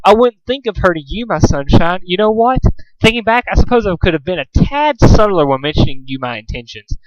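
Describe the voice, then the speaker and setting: A man's voice: high voice, sing-song, brisk, no echo, highs cut off, pitched above the performer's own voice. A man voicing a prim, dramatic female unicorn fashionista in a story reading, with theatrical, haughty delivery. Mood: sugary, coaxing.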